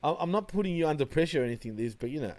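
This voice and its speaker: A man's voice: fairly high pitch, animated, very fast, a crowd in the background. A man from Australia with an Australian accent, co-hosting a casual late-night wrestling podcast, laid-back and laughing often, swearing freely. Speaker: podcast co-host.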